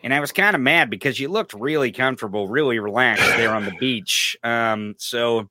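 Bright, upbeat podcast host man. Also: medium pitch, normal speed, no echo. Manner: joking, upbeat. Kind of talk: casual podcast chat.

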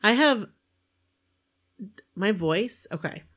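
A hoarse, congested woman from Maine co-hosting a casual podcast, chatty and quick to laugh. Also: medium pitch, lively intonation, a bit bright. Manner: chatty storyteller, laughs often. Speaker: podcast co-host. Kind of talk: casual chat.

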